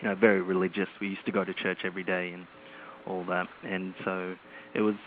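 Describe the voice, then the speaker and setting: A man with a very deep, flat, even voice, answering interview questions calmly with a few ums. He comes through a tinny phone line with faint hiss behind him. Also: fairly quick. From Australia. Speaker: author, interview guest.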